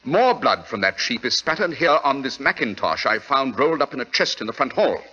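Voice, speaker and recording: Shrewd british detective, very forceful n slightly husky, swoopy delivery, old radio sound. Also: male voice, mid-range voice, big pitch swings, fairly quick, exaggerated voice. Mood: conclusive. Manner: crisp, commanding, deductive. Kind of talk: 1940s radio acting. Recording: dull old radio recording.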